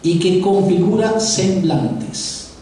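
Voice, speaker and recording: Paisa-accented male professor, mellow, rather high voice, lecturing live, heard from far off in an echoey auditorium. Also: animated, slow pace, a little hiss or hum. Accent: Paisa (Antioquian Spanish). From Antioquia, Colombia. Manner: conversational, addresses audience directly, repeats words while thinking.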